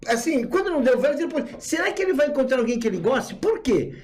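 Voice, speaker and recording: Lively analytical man. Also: extremely high pitch, fast. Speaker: commentator. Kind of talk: political commentary discussion.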